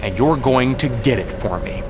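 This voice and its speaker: An American actor playing a smug, fanatical militant leader in a game motion comic, taunting and calmly menacing. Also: male voice, mid-range voice, moderate pace, music in the background, close to an everyday voice. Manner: smooth-talking, taunting.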